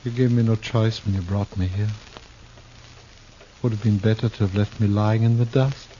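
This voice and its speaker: An actor in a 1950s radio drama playing a tormented failed thespian on the run, speaking in florid, archaic, Shakespearean phrases. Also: male voice, lowish voice, steady tone, normal speed, mellow, natural voice. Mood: desperate, pleading. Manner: theatrical, archaic florid speech, quoting Shakespeare. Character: desperate, tormented, ill-favoured failed actor turned armed fugitive. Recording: dull, noisy old radio recording.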